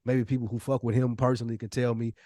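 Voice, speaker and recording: An American man of about forty hosts a podcast in an easy, slangy style. His voice sits at a medium pitch for a man, and the sound is dry, with very little room on it. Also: level tone, fast talker, mellow, dry recording. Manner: slangy, conversational. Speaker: podcast host. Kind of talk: casual podcast talk.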